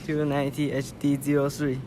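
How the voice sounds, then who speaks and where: A man's voice: fairly high pitch, monotone, brisk, lightly acted. A man playing an earnest, polite but impatient young rabbit police officer in an amateur radio play. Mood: polite, pressed. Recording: noisy amateur recording.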